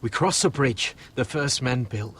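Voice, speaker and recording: A man's voice: mid-range voice, lively intonation, unhurried, a bit bright, slight background noise. A man playing a loyal young wildling brother in a fantasy video game, coaxing and conciliatory. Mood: hopeful. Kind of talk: dramatic video game acting.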